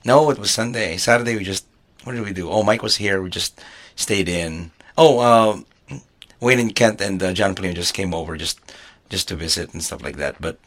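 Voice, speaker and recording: A man co-hosting a casual podcast chat, hoarse from losing his voice, talking haltingly and laughing often. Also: low-pitched, a bit bright. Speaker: podcast co-host.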